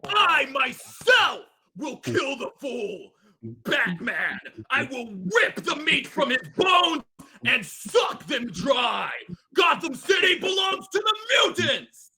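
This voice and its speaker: A man's voice: very high-pitched, sing-song, slow pace, sharp tone, shouting, heavily acted. A man voicing a huge, savage, boastful mutant gang leader in a cartoon table read, bellowing threats in broken English. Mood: bloodthirsty rage. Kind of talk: live table read of animated series script.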